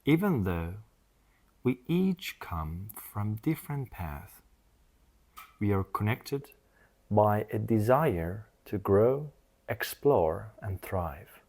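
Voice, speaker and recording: A man leading a scripted guided meditation in a soothing, measured manner, speaking in short phrases with long pauses. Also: lowish voice, very animated, a little mellow.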